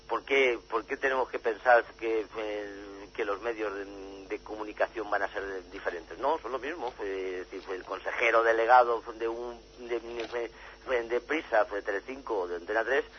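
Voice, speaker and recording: Muffled halting male interview guest. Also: on the low side. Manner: halting, many fillers and restarts, then rushes ahead. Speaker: journalist and author being interviewed. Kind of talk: radio interview answers. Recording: muffled lo-fi radio audio with faint hum.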